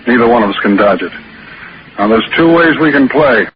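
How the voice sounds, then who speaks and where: A man's voice: medium pitch, expressive, a little fast, natural voice. Man acting tough probing police chief, straight to the point, muffled noisy old radio. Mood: stern, measured. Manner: matter-of-fact, probing. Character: brisk, shrewd, tough small-town police chief.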